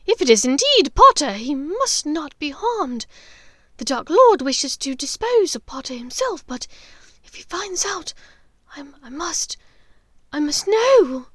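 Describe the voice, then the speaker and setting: A woman voicing a menacing, fanatical dark witch in an audiobook, imperious and unhinged as she barks orders. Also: sits high, very animated, measured pace, no echo, clean studio sound, voice pushed up. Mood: fearful, calculating. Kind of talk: audiobook character acting.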